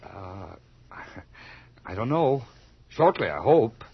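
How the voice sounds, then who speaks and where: A man's voice: lowish voice, big pitch swings, very slow, vocal fry, husky, dry recording, heavily acted. An actor playing a mad, guilt-ridden hired man hiding a murder in a horror radio drama, theatrical and unsettled. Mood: agitated, ingratiating. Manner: theatrical, stammering, swinging from hushed gloating to over-eager politeness. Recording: dull, lightly noisy old radio recording.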